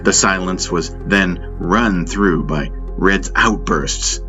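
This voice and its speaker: A man with a very deep voice narrates a first-person space story. The sound is a little muffled, and music plays loudly behind him throughout. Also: takes it slowly. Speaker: audiobook narrator. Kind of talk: scripted first-person sci-fi audio drama narration. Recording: dull muffled sound under loud music. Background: loud music.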